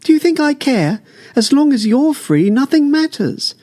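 A man's voice: extremely high pitch, sing-song, normal speed, mellow, pitched above the performer's own voice. A man reading an audiobook, voicing a desperate mother who urgently pleads to save her son.

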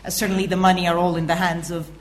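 A woman journalist from Italy speaking on a conference panel, measured and personal, sometimes trailing off. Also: on the low side, little pitch movement.

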